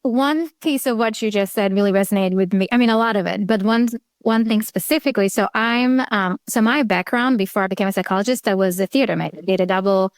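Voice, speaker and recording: A woman's voice: mid-range voice, fast, hardly any pauses, no echo. A woman psychologist from Israel with an Israeli accent, speaking in an earnest way and searching for words. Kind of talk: conversational interview.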